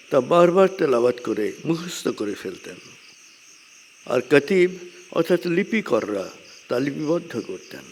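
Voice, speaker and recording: A man giving a measured religious lecture, speaking deliberately in short phrases with frequent pauses. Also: on the high side, a little mellow. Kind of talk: measured religious lecture, partly scripted narration. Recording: long hall reverb, clear background noise.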